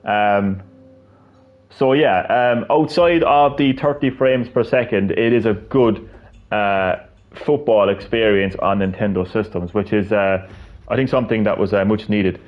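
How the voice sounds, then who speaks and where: A man's voice: fairly low pitch, unhurried, highs cut off. A man, chatting casually while he plays a fighting game, rambling with lots of fillers and restarts. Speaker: gaming streamer. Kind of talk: casual gameplay commentary.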